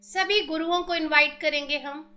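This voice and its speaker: An Indian woman leading a guided meditation in a measured, devotional manner with long pauses. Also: high-pitched, steady tone. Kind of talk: guided meditation, slow instructions and invocations. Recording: meditation music with singing bowls behind. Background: soft music and singing bowl tones.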